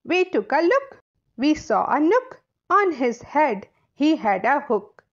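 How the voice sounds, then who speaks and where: A woman narrating a children's storybook aloud in a deliberate, sing-song way. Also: high-pitched, sing-song. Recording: slightly dull, lo-fi audio.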